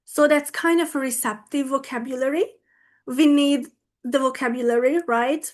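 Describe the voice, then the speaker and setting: Slow-speaking woman, Hungarian native. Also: on the high side. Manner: slow, deliberate, often checks in with "right?". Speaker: conference speaker, language teacher. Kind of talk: online conference talk.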